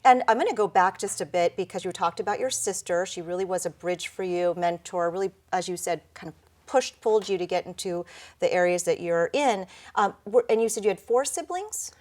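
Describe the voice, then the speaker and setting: A woman interviewing a guest, speaking in a measured, affirming way and echoing the guest's words back to her. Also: lowish voice, a little mellow. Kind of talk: interview questions and feedback.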